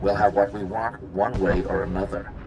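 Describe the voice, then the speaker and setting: Menacing male crime enforcer, very low, filtered game message. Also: little pitch movement, normal speed. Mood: threatening. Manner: threatening, insistent. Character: menacing male gangster making demands. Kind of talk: video game cutscene acting. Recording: filtered message with clear noise.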